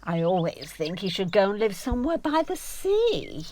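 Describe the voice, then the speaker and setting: A woman's voice: medium pitch, big pitch swings, moderate pace, darkish tone, slight background noise, close to an everyday voice. A woman playing a restless, self-absorbed, theatrical actress in an audio drama. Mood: teasing.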